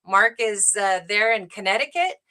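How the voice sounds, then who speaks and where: Bright-voiced woman hosting a live show. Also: medium pitch, lively intonation, moderate pace. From United States. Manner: eager, hesitant with many fillers. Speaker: host.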